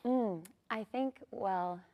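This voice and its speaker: A woman's voice: a little high, slow, dull audio. Earnest woman guest talking ballet. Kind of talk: TV interview.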